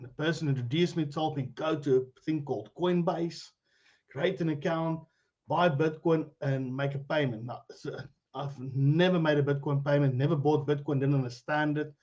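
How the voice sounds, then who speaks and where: A man's voice: fairly high pitch, steady tone, normal speed, darkish tone, muffled recording. A middle-aged man with a South African accent, guest on a live-streamed interview, talking in a chatty, rambling way. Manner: chatty, rambling, laughs easily. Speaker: guest, cryptocurrency educator. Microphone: video call.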